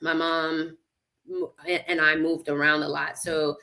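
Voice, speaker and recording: Female guest, lower-pitched, slow and thoughtful, shares her journey with a bright, clear tone. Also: little pitch movement. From United States (Connecticut). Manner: slow, reflective, faith-centered telling. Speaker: podcast guest. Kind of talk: personal storytelling in conversation.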